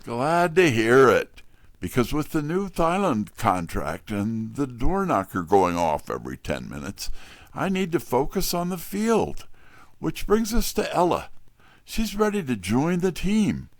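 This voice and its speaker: Slow, gravelly, wry detective played by a man. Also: mid-range voice, wildly swooping pitch, no echo. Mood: brisk, businesslike. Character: wry, smooth-talking male private detective narrator. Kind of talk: first-person detective narration, audio drama.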